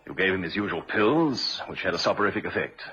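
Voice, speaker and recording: An actor playing a shrewd, probing police inspector with a husky voice in a vintage radio crime drama. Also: male voice, low-pitched, lively intonation, fast talker, sharp tone, lo-fi sound, slight background noise.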